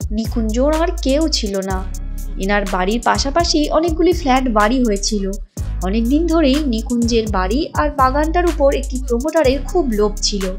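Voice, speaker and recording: A woman tells a cartoon story in scripted narration. Her voice sits somewhat high, and music plays clearly behind her. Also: animated, normal speed. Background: clear background music.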